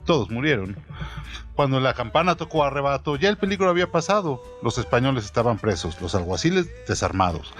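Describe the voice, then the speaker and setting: A man narrating a literary audio story in a dramatized radio storytelling style, with music behind him. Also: mid-range voice. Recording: soft music under the voice.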